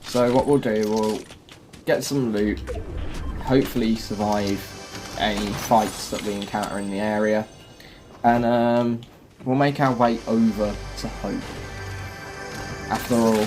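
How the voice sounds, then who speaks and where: A man's voice: on the low side, steady tone, a little slow, pauses often. A man hosting a gaming video, giving casual, chatty guide commentary and explaining as he goes. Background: music.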